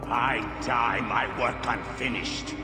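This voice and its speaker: An actor playing a fanatical, grandiose villain, halting and swelling to shouts in a dramatic cinematic game scene. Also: male voice, mid-range voice, steady tone, lightly acted. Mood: brooding. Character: fanatical villain, grandiose, brooding over unfinished work. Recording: echoey hall, loud music behind.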